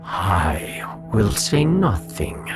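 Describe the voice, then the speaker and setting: A man's voice: on the low side, big pitch swings, very slow, gravelly, over music, voice pushed down, heavily acted. A man voicing a haughty, grandiose evil-genius talking cat with menacing delivery in a sci-fi audio drama. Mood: grandiose, smug.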